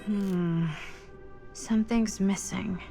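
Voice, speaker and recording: A woman's voice: mid-range voice, steady tone, creaky. A woman playing a wry young explorer in a video game, muttering dry jokes to herself. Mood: wry musing. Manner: slow, dry, sardonic self-talk. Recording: music behind.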